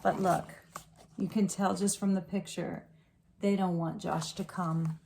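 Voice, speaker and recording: A woman reading a children's storybook aloud like a teacher, with expressive delivery and gentle asides. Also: on the low side, vocal fry, a little hiss or hum. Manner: slow, deliberate, expressive storytelling.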